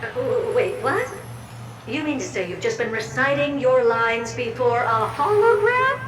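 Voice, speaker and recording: A woman voicing a floating puppet helper in a video game, who flatters her mistress and narrates in a theatrical, playful way. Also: on the high side, unhurried, noisy background. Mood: fawning. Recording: roomy and distant, with music. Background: game music.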